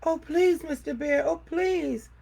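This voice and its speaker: A woman's voice: high voice, slow, creaky, a little mellow, voice pushed up, heavily acted. A woman voicing a frightened, polite little girl with a tiny pleading voice in a storybook read-aloud.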